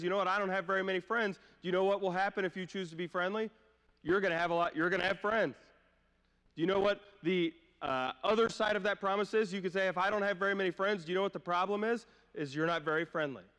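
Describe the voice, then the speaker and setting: A man preaches in a fairly high voice that rings through a big, echoing hall. He speaks informally, like a conversation with the congregation, putting rhetorical questions to them. A lingering cough leaves his voice slightly off. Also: fairly bright tone. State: slight cough, voice a little off from a cold. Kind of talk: conversational sermon. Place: church hall during a live-streamed service. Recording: long hall reverb, live church stream.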